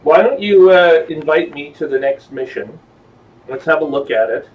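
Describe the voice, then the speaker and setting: A man streaming a video game, chatting casually with fellow players in a halting way, repeating words as he goes. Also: a little high, lively intonation, recorded from a distance. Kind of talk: casual gamer voice-chat banter. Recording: dull, noisy headset audio, off mic.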